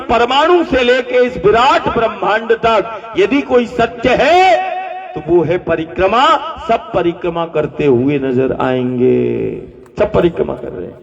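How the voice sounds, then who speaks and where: Male preacher, slow and rhetorical, repeats key lines and prompts the crowd; distant, dull live recording with long reverb. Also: sits high, gravelly. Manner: rhetorical, repeating phrases, asking the crowd to repeat after him. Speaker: spiritual teacher. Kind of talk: devotional discourse to a gathering.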